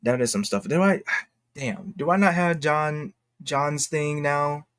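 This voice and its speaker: An American man giving casual, unscripted online commentary in a halting, repetitive, slangy way. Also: a little high, a bit bright, clear, resonant tone. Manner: halting and repetitive, slangy, addressing viewers as y'all. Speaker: online video commentator and host. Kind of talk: casual unscripted commentary, reading posts aloud.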